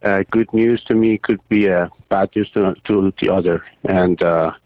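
A man who grew up in Iran, a surgeon and poet, answering interview questions haltingly with many fillers and restarts. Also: sits low, fairly even intonation, dry recording. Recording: tinny phone line.

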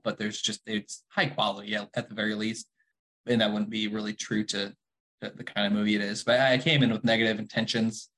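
A man's voice: lowish voice, sharp tone. Wry, opinionated young man chatting. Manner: opinionated, wry, quick to laugh. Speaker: podcast co-host. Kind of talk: casual podcast chat.

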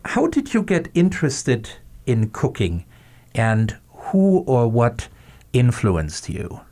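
A male podcast host with a voice of middling pitch. He talks in a thoughtful way, pausing and restarting as he searches for the right words. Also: huge pitch swings, dark tone. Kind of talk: podcast interview.

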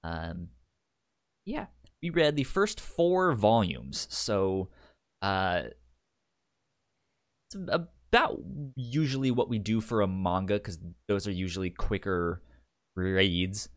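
A man's voice: on the low side, expressive, slow pace, pauses often. A man co-hosting a podcast in casual chat, rambling, with many stammered restarts and the hiccups. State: has the hiccups. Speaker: podcast co-host.

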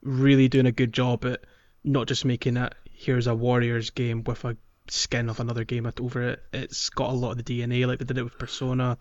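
A man's voice: mid-range voice, little pitch movement, brisk, hardly any pauses, a little mellow, lo-fi sound. A man chatting casually and enthusiastically as a podcast host. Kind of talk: casual chat.

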